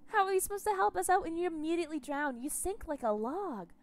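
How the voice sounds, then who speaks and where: A woman's voice: very high voice, lively intonation, fast talker, voice pushed up. A woman voicing a cheerful, cruel cannibal woman who mocks her captives in a sneering, sing-song way while streaming a video game. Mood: taunting.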